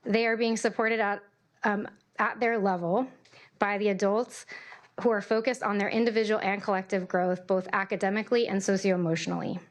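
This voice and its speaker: A woman's voice: mid-range voice, steady tone, a little fast. A woman, giving a prepared, formal statement of support at a public meeting. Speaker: parent speaking as public commenter.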